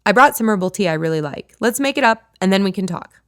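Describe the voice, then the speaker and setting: A woman's voice: mid-range voice, animated, fast, a bit bright, dry recording, clean studio sound, natural voice. A woman reading a sly, teasing girlfriend. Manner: playful, goading.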